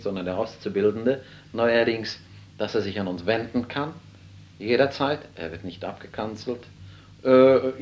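A man's voice: on the low side, slight background noise. A man who manages a department store, answering an interview hesitantly with many fillers. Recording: dull lo-fi tape.

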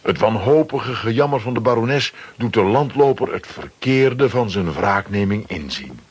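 A male narrator of a children's radio play. His voice is low and notably rough, and he tells the scripted story with animated intonation. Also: normal speed.

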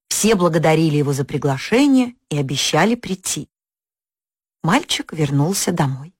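A woman narrating a folk fairy tale for an audio story, expressive and lifting her pitch on key words. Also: husky, no echo, studio quality.

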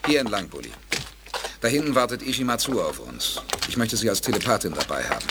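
A calm, commanding space explorer in a science fiction radio play. His lowish voice is deliberate and courteous, with lively inflection, over clearly noisy background effects. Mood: composed. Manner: composed, deliberate. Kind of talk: German audio drama acting.

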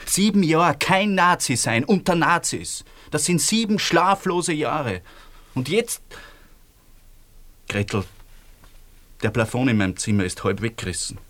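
A man's voice: a little high, big pitch swings, measured pace, long pauses, fairly bright tone. Wry, world-weary Austrian man. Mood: weary, pleading. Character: weary, wry man in love after the war. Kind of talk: radio play acting.

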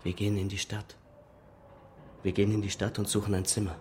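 An actor playing a blunt, earnest young man in a radio drama, with a low, husky voice. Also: flat delivery, a little fast, background noise, natural voice. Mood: urgent, pleading. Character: blunt, urgent young man pleading with a woman.

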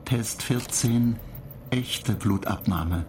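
A man playing a computer data agent in a radio play, matter-of-fact, clinical and measured, calmly pedantic and dryly superior. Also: fairly low pitch. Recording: clear noise bed behind the voice.

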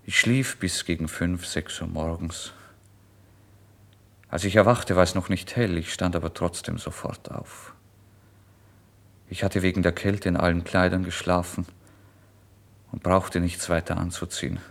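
Deep-voiced man narrating intensely. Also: steady tone, unhurried, creaky, long pauses. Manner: intense, theatrical, swinging from hushed to loud. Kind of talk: dramatic first-person literary narration.

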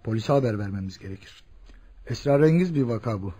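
A man playing a cautious senior hospital physician in a radio drama, speaking in a measured, deliberate, authoritative manner. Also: fairly low pitch, animated, mellow, husky. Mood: grave, pondering. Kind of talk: Turkish radio theatre acting.

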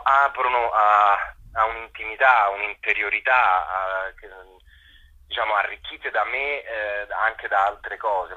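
Muffled, husky low-voiced man being interviewed. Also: pushed and bright. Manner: reflective, halting, often rephrasing mid-sentence. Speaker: theatre director and adapter being interviewed. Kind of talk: spontaneous interview answers. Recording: muffled, lo-fi radio phone-in sound.

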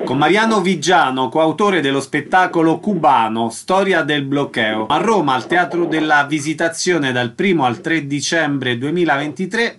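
A male radio host with a slightly raised pitch. He reads the listings in a clear, measured announcer manner and then puts short questions to his guest. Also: a bit bright, a little hiss or hum. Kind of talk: reading credits and interviewing.

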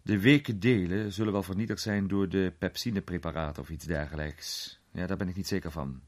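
Gentleman detective for a storybook man performing: composed, well-mannered, learned, low and husky. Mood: calm, courteous. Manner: calm, polite, measured. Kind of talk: dramatized storybook reading.

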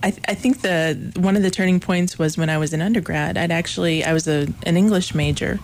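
A woman from Illinois, a theater professor, talks about her career as a radio guest. Her voice is on the low side and loud, and she often laughs between thoughts. Faint room ambience is heard behind her. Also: fairly quick, slight background noise. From Illinois, USA. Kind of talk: interview conversation. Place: college radio studio.

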